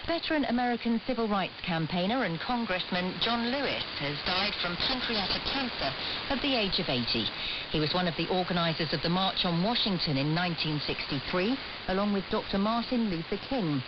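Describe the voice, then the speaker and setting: Woman newsreader, formal scripted delivery, mid pitch, muffled shortwave, very loud hiss. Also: lively intonation, a little fast. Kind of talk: formal scripted news bulletin. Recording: muffled, very noisy hissing shortwave radio.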